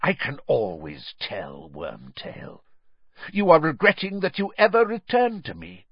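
A man reading an audiobook and voicing a sickly, cold, menacing dark lord who talks in a hissing whisper. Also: on the high side, wildly swooping pitch, a little slow, husky, no room sound, dull audio, pitched above the performer's own voice, full character voice.

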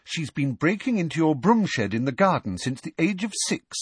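Man voicing a high-pitched, bookish teenage girl. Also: big pitch swings, moderate pace, slightly rough, dry recording. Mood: brisk. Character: bookish teenage girl, brisk, exasperated know-it-all.

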